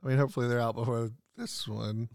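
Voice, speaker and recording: A man's voice: mid-range voice, steady tone, quick pace, creaky, a little mellow, slight background noise. A man co-hosting a casual podcast, playful and joking, laughing a lot. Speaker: podcast co-host.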